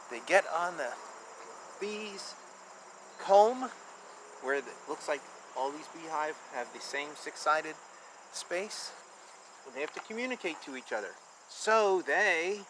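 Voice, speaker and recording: A man reading a children's picture book aloud and explaining it informally in simple terms, with an animated manner. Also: high-pitched, a little hiss or hum, low-quality recording.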